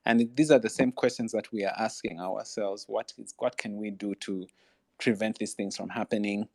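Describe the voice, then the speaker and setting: A man's voice: fairly low pitch, steady tone, normal speed, gravelly, a little mellow, no echo, clean studio sound. A man from Uganda, answering as a panelist in a thoughtful, searching way with frequent restarts.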